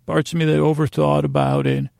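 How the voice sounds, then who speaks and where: Very creaky, rambling man. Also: fairly high pitch, flat delivery, a little mellow, dry recording, studio quality. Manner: meandering, halting, trailing off mid-sentence; self-deprecating, confessional. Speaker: podcast host. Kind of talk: rambling personal storytelling monologue.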